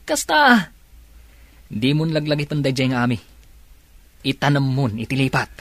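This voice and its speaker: A man's voice: medium pitch, big pitch swings, normal speed, fairly bright tone, dry recording. An actor playing a reassuring male buddy in a radio drama, with a Filipino Ilocano accent.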